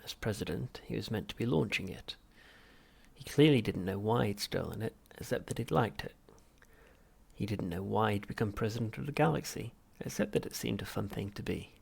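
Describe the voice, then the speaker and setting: A man's voice: lowish voice, creaky, darkish tone, slightly rough. A man reading comic science fiction aloud as scripted audiobook narration. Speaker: audiobook reader.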